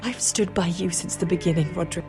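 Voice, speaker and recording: A woman's voice: fairly low pitch, fairly even intonation, fast talker, music in the background, lightly acted. A woman voicing an earnest loyal noblewoman. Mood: pleading. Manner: earnest, heartfelt. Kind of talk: video game voice acting.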